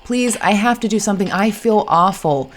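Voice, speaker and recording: A woman's voice: mid-range voice, moderate pace, slight background noise, voice pushed up, lightly acted. A woman voicing an apologetic, eager man in an audiobook, earnest and flustered. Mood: earnest, insistent. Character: apologetic, clumsy, eager man, rich and charming. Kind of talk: audiobook character dialogue.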